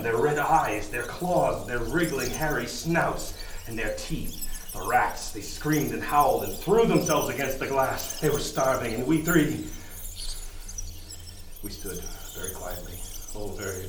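An actor playing a grim, brooding chief lighthouse keeper, narrating and acting with dramatic intensity in a horror radio play. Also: male voice, medium pitch, a bit bright, natural voice. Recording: roomy, distant, faint noise.

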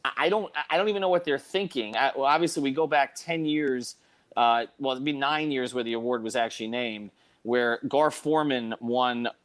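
A man's voice: medium pitch, normal speed, fairly bright tone. A man hosting a sports talk show in a casual, conversational style. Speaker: podcast host.

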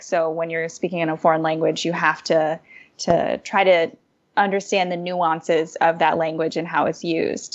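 Animated woman on a lo-fi call. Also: lowish voice. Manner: animated, thoughtful, with frequent fillers and restarts. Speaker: guest, former diplomat and food blogger. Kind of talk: conversational interview answers. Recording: muffled lo-fi audio.

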